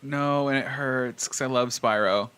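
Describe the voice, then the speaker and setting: Frank, bright-voiced man on a podcast. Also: medium pitch, measured pace, clean tone. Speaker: podcast co-host. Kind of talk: casual chat about movies and video games.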